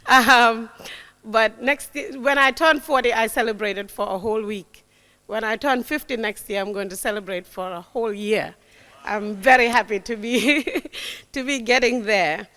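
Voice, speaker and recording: A woman's voice: fairly high pitch, a little slow. A woman in her forties giving a motivational talk to young people, emphatic, often checking in with "right?". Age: 40s. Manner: warm, emphatic, repeats key words, frequent "right?". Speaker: guest speaker. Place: lecture hall. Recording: long hall reverb.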